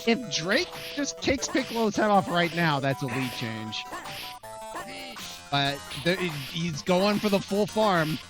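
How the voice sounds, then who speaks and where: Very animated casual co-commentator, music behind. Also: mid-range voice, slow. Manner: casual, conversational. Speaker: esports co-commentator.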